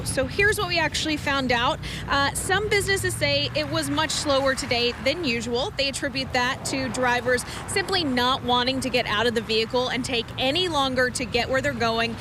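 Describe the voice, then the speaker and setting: High-voiced American woman reporting amid traffic noise. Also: normal speed, few pauses. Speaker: TV news reporter. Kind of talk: live field reporting. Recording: outdoor live shot, clear traffic noise. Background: street and vehicle noise.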